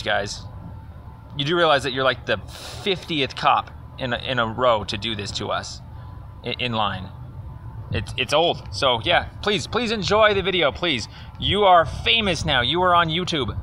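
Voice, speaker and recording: A man's voice: mid-range voice, sharp tone, slight background noise. A man leaving a rambling, accusatory phone message in a sarcastic, confrontational, indignant manner. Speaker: caller leaving a voicemail complaint.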